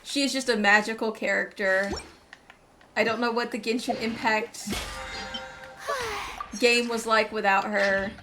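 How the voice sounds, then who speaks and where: A woman's voice: a little high, steady tone, a little slow, long pauses, sharp tone. A woman doing casual live gameplay commentary, chatting to herself and her viewers as she plays. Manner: chatty, talks to herself and viewers while playing. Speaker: game streamer. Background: game music.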